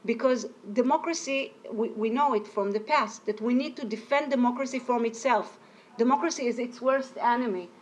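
A woman's voice: on the high side, brisk, a little mellow. A middle-aged woman giving an academic lecture as a keynote speaker, explaining and often searching for words. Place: indoor lecture room at a podium.

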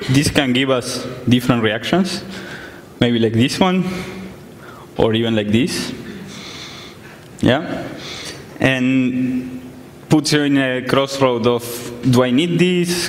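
A man's voice: medium pitch, a little hiss or hum. Young man speaking in an echoey hall. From Argentina. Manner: relaxed, plain explanations with frequent fillers. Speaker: conference speaker. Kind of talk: tech conference talk. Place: large conference hall. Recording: echoey hall, some distance from mic.

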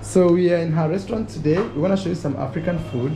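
A man's voice: on the high side, fast talker, mellow, slight background noise, poor audio. A young man chatting casually as a vlogger in a small restaurant, playful and laughing often, filmed on a close action camera. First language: not French. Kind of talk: casual vlog chat.

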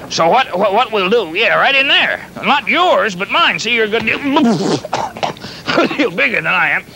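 A man playing a kindly, folksy pirate captain in a children's show, halting and stammering as he rambles and repeats himself. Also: high voice, sing-song, gravelly, noisy background, exaggerated voice. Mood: patiently coaching. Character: folksy, flustered, kindly pirate captain fond of his clumsy pet.

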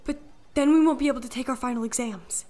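A woman's voice: sits high, fast talker, dark tone, close to an everyday voice. A woman playing an anxious, studious teenage girl in an audiobook. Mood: fretful. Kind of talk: audiobook character acting.

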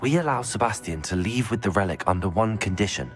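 Calm, low-voiced British student wizard played by a man. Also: fast, soft background music, lightly acted. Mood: persuasive. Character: calm, persuasive young male student wizard. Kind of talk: video game voice acting.

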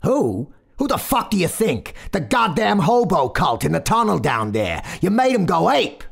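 A man voicing a brash, irritated, sweary train motorman with a gruff Brooklyn accent in an audio horror story. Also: sits high, fairly quick, a little mellow, voice pushed up, natural voice. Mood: angry, scolding.

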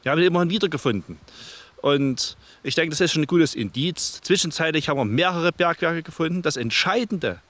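A man's voice: fairly high pitch, expressive, fairly quick, fairly bright tone, dry recording. A middle-aged man, telling his account to camera in an interview. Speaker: treasure hunter, interviewee.

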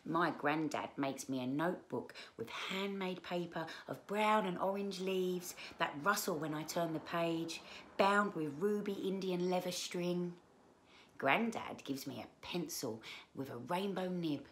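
A woman in her thirties reading aloud to children as a storyteller, expressive, with drawn-out emphasis on key words. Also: on the low side, a little hiss or hum. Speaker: children's book read-aloud presenter. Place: small living room, indoors.

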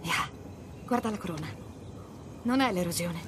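A tough, blunt mercenary , played by a woman in a video game cutscene. Her pitch moves a lot as she talks, with a guarded, sardonic edge. Outdoor ambience is clearly audible behind her. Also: lively intonation, moderate pace, noisy background, natural voice. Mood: brisk.

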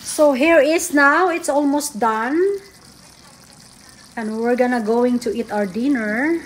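A woman's voice: sits high, slow pace. A woman in her forties from the Philippines with a Filipino accent, chatting casually in a cooking vlog as she explains each step. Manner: chatty, explaining steps in simple English. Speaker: vlogger. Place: home kitchen and backyard grill. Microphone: phone camera held close. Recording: noisy phone recording with sizzling. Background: frying and sizzling.

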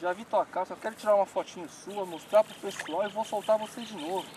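A man's voice: high voice, fast, background noise. Man narrating his fight with a fish outdoors. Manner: explanatory, coaxing the fish, enthusiastic. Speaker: fishing show host. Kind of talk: live commentary while fishing. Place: outdoors at a rural pond bank. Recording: outdoor rural ambience.

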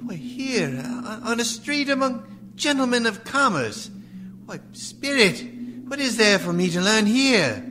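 An actor playing a frightened, humbled old miser who stammers and pleads in a radio play. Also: male voice, high-pitched, very animated, a little slow. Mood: fearful, resigned. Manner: stammering, hesitant. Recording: clear background noise.

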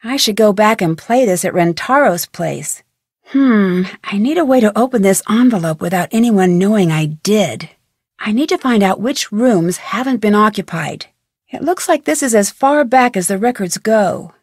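An actress playing a plucky, curious young detective who perkily talks to herself in a video game. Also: female voice, mid-range voice, expressive, normal speed, no room sound, studio quality, lightly acted. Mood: curious, musing. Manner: perky, crisp, self-talking. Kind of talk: video game character lines.

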